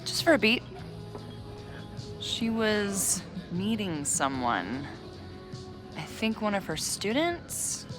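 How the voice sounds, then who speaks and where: A woman's voice: mid-range voice, very animated, takes it slowly, long pauses. A woman playing a blunt, teasing young venue worker in a video game, with casual, slangy, naturalistic delivery. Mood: friendly, teasing. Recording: music under the voice.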